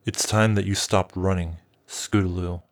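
A towering old male dragon, as a storyteller puts him on, speaking in a very low, unhurried bass. He sounds calm, knowing and gentlemanly, soothing rather than menacing, like a wise elder in a storybook. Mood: calm, tender. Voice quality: deep bass voice, slow and soothing. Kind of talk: storybook reading.

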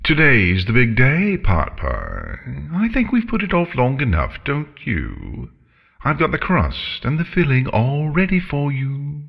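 A man's voice: mid-range voice, huge pitch swings, a little slow, vocal fry, a bit bright, big-room sound, pitched above the performer's own voice, exaggerated voice. A man voicing a female dragon in a storybook, chipper and prim, with nonchalant, condescending menace.

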